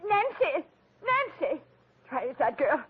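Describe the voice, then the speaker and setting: A woman's voice: sits high, sing-song, heavily acted. A woman playing an indignant, plaintive rich widow in nervous collapse in a 1940s radio drama. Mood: wailing, indignant. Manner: slow, plaintive, swooping. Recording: muffled old radio recording.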